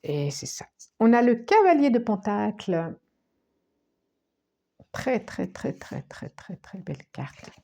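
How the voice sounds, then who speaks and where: A woman with a cold giving a tarot reading, speaking slowly with many restarts and repetitions, sometimes dropping to a near-whisper. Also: mid-range voice, very animated, gravelly, dark tone. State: has a cold, coughing. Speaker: tarot card reader.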